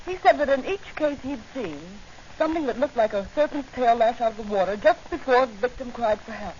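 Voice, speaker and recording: An earnest young woman reporter acted by a woman in a 1940s radio serial. Her voice is high and plucky. The recording is muffled with faint noise. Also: fairly quick, a little mellow, close to an everyday voice.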